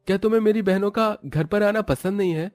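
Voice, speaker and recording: A man's voice: high-pitched, quick pace, darkish tone, dry recording, faint music behind, voice pushed up, natural voice. A man voicing an irritated, dismissive husband in a cartoon moral story, with a reproachful manner.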